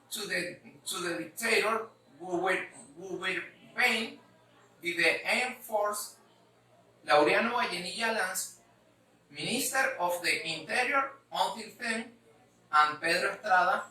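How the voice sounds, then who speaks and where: Slow, halting woman reading in echoey room. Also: medium pitch, sharp tone. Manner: halting, repeats words, choppy phrasing. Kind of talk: scripted history presentation read aloud. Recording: echoey room, distant mic.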